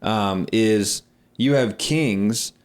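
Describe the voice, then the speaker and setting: Low-voiced man hosting, thoughtful and halting. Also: smooth. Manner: thoughtful, halting, groping for words. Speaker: podcast host. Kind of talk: conversational interviewing.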